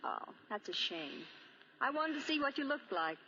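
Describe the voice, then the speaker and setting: Lively, high-voiced 1940s radio wife. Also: female voice, moderate pace, heavily acted. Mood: playful. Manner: coaxing, pestering questions. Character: playful, inquisitive, eager society wife. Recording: muffled, faintly noisy 1940 radio broadcast.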